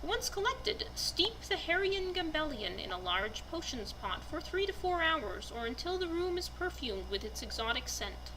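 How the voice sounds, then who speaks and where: A woman giving a deliberate, storybook-like dramatic reading of a written fantasy text. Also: high voice, very animated, noisy background. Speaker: reader presenting a fantasy book.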